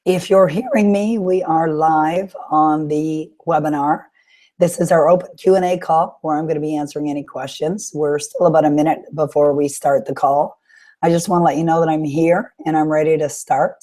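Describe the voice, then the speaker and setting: A woman hosting a live webinar question-and-answer session with a sore throat, talking in an encouraging, coaching way with frequent pauses. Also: deep voice, fairly even intonation, dark tone. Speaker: webinar host and author coach.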